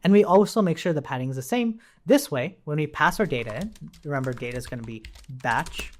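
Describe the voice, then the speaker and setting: A man giving an informal coding tutorial, explaining step by step in a conversational way and often checking in with a quick "right?". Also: a little high, animated, a little hiss or hum. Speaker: programming instructor.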